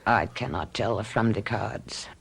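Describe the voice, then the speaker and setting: A woman playing a solemn, commanding fortune-telling witch who speaks in a measured, ominous way in a radio drama. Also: rumbling low, gravelly, breathy edge, full character voice. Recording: radio drama with music behind.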